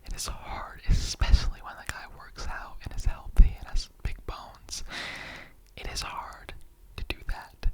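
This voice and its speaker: A performer voicing a chatty, earnest immortal skeleton interrogator in a whispered ASMR roleplay, rambling conversationally with halting asides. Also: close to an everyday voice. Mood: weary, confiding.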